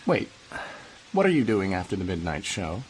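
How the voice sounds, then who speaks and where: An actor playing an ambitious, cynical American politician in a radio drama, confident, glib and worldly. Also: male voice, deep voice, very animated, normal speed, a little mellow, slightly rough, full character voice, rough recording. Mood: cool pressure. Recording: faint background noise.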